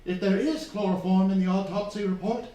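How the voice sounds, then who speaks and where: Actor as anxious high-pitched young parson. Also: male voice, steady tone, mellow, close to an everyday voice. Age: young adult. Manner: anxious, halting, agitated. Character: frightened, guilt-ridden young parson, anxious and weak. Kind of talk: stage radio drama acting. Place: theatre stage, live performance. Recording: very echoey hall, distant mic, faint noise.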